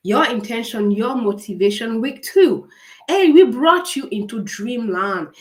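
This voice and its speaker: Raspy-voiced woman coaching through a cold. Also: medium pitch. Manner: upbeat, encouraging, asks viewers many questions. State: fighting a cold. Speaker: writing coach hosting a live series. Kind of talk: live-stream coaching talk.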